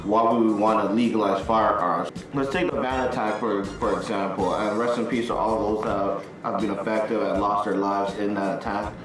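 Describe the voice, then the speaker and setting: A man's voice: lowish voice, fairly even intonation, moderate pace, few pauses, over music. A man with a Toronto accent hosting a casual debate podcast, talking loose and slangy and asking pointed questions. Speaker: podcast host. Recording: echoey room, mic far off.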